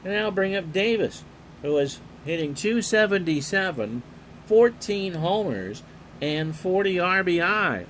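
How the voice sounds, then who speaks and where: A man calling a replayed baseball game play-by-play in a calm, unhurried way, reading player stats and chatting with viewers. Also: high-pitched, slight background noise. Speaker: play-by-play announcer and streamer.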